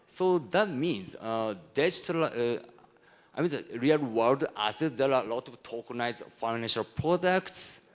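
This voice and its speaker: A Japanese man presents at a conference in a medium-pitched voice. His accent is Japanese, and his English is halting, with phrases broken and rebuilt as he explains his points. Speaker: conference speaker, hedge fund executive.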